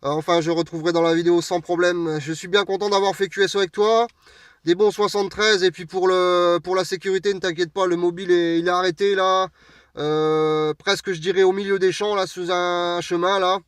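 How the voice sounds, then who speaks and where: A man's voice: high-pitched, steady tone, a little fast, smooth, no echo. A man from France chatting casually and a little hesitantly as an amateur radio operator, speaking from a parked vehicle. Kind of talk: casual radio contact chat. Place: parked vehicle outdoors on an open plateau. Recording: distant mic, recorded away from the speaker.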